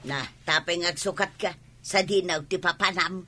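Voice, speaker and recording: A woman playing an excitable village gossip with an Ilocano Filipino accent, exclaiming and drawing out her words in a comic radio drama. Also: fairly low pitch, moderate pace, slight background noise. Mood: coaxing.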